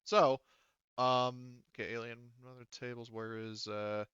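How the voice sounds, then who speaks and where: A man acting as game master, narrating play and rules casually in a halting, thinking-aloud way. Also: medium pitch, level tone, a bit bright, clear, resonant tone, no room sound, muffled recording.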